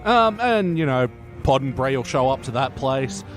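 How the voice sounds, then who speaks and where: A man's voice: mid-range voice, lively intonation, moderate pace, faint music behind. A man co-hosting a podcast in blunt, sweary, casual chat about a TV show. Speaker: podcast co-host.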